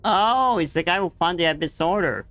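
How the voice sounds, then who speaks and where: Animated higher-pitched male gamer. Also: a little fast, no room sound. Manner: musing aloud in short, puzzled remarks. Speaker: gaming streamer reacting to story. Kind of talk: casual reaction commentary over gameplay.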